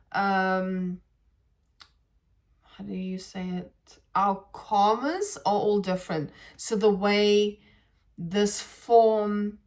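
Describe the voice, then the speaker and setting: A woman speaks in a reflective, spiritual monologue for her podcast. She meanders and pauses to find her words, restarting sentences as she thinks aloud. Her pitch sits in the middle range for a woman. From South Africa. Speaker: podcast host.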